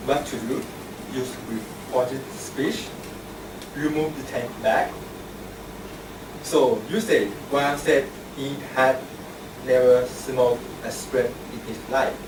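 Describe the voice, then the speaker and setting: A man's voice: mid-range voice, takes it slowly, long pauses. A man acting as grammarian, giving a short grammar lesson with worked examples in a club meeting room. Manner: plain, repetitive teaching with worked examples. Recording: echoey room, distant mic, air conditioning hum.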